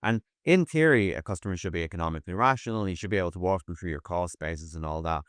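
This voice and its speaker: A man's voice: low-pitched, racing, clean tone, no echo, studio quality. A man speaking as a tech executive guest in a conversational interview, often repeating the starts of his words.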